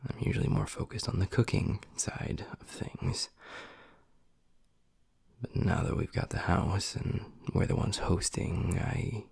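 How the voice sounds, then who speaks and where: A man voicing a gruff but tender young werewolf boyfriend in an intimate audio roleplay, husky and breathy, speaking low and haltingly. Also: fairly even intonation, a little mellow, no echo, studio quality, exaggerated voice. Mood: firm, coaxing. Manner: low, halting, half-whispered delivery.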